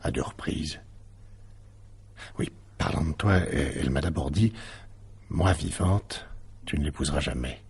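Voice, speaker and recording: Deep, husky, evasive husband under suspicion. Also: male voice, a little slow, long pauses, darkish tone, heavily acted. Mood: troubled, confiding. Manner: hesitant, self-justifying, emphatic when challenged. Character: evasive, defensive husband suspected in his wife's disappearance. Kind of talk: French radio mystery drama acting.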